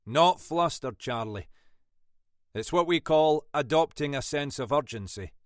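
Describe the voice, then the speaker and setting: A man voicing a shrewd older Scottish detective inspector with a dry, brisk, wry manner. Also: a little high, expressive, dry recording, voice pushed up. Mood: urgent.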